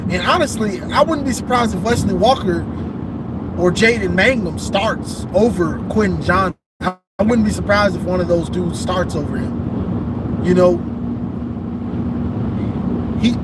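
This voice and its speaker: A man hosting casual football talk, rambling and repeating himself, a bit hoarse from losing his voice, calling in from a vehicle. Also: sits high, unhurried, very noisy. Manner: rambling, repeats himself, says "you know what I'm saying". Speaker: football show host. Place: in a vehicle on a bridge.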